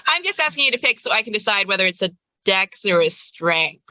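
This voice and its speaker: A woman's voice: mid-range voice, big pitch swings, brisk, sharp tone, dry recording. A woman running a tabletop game as game master, chatting casually with her players.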